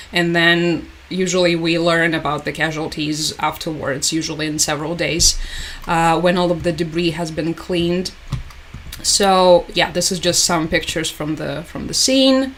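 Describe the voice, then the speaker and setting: A woman's voice: deep voice, fairly even intonation, unhurried, a bit bright, slight background noise. Somber woman hosting a live stream. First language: Russian. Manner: somber, hesitant, many fillers and restarts; resigned, repeats "unfortunately" and "as always". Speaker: livestream host. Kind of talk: live commentary while reading chat.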